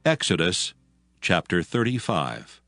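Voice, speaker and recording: A man narrating scripture from a script in a measured, solemn manner. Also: deep voice, sing-song, vocal fry, fairly bright tone. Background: faint music.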